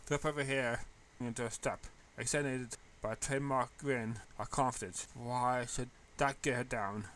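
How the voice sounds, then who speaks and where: A man's voice: mid-range voice, fairly even intonation, normal speed, fairly bright tone, a little hiss or hum. A man narrating a story in a read-aloud style. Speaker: fan fiction reader.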